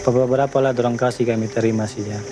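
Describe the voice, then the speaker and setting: A man with a Sabah Malay accent talks in a colloquial, plain-spoken way. His pitch stays quite level as he answers in a documentary interview. Music plays clearly behind him. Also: fairly even intonation, fast talker. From born in the Philippines, raised in Sabah, Malaysia.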